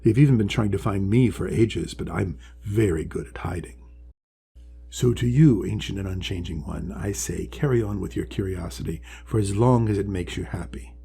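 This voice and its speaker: A man voicing a huge, ancient, wise male sea creature from a storybook, friendly and measured, with a deep voice. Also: gravelly, warm tone, slight background noise. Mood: kindly, encouraging. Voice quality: deep, resonant. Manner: slow, measured, kindly pronouncements. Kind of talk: storybook character voice.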